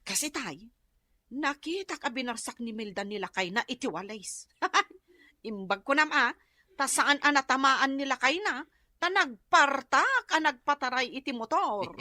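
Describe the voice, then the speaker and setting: A bright, high-voiced gossipy neighbour. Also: female voice, expressive, unhurried, dry recording, studio quality, exaggerated voice. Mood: amused, gossipy. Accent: Ilocano. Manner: gossipy, exclamatory. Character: nosy, giggling gossip of a neighbour. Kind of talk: Ilocano comedy radio drama acting.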